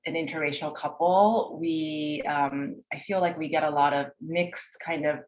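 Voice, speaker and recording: Low-voiced woman from Japan, dull audio. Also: steady tone, measured pace. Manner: soft-spoken, thoughtful, hesitant with many fillers. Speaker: panelist. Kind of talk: personal storytelling on a panel.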